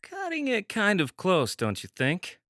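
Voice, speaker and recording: A man playing an excitable, sardonic twenty-eight-year-old male gamer in an audio drama. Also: high voice, a little slow, no echo, clean studio sound, full character voice. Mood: dry, grumbling.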